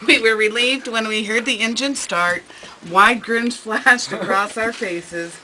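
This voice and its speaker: A woman's voice: on the high side, a little slow, pushed and bright, a little hiss or hum, rough recording. A woman teacher reading a personal essay aloud in a classroom, with an amused manner. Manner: amused, often breaking into laughter. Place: school classroom. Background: music.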